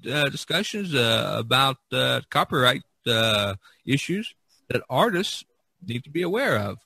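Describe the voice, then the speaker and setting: A man hosting a podcast in casual chat, with a husky voice. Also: mid-range voice, sharp tone. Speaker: podcast host.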